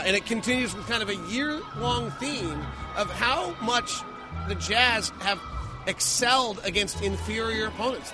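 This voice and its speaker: A man giving emphatic, opinionated post-game sports analysis as a radio play-by-play host. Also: high voice.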